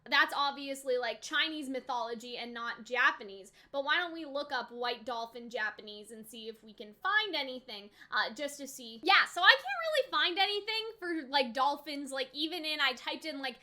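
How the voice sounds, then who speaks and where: A woman's voice: high voice, lively intonation, normal speed, hardly any pauses, bright, forceful tone. A woman giving casual reaction commentary in a chatty, rambling way, peppering her sentences with "like". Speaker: anime reaction host.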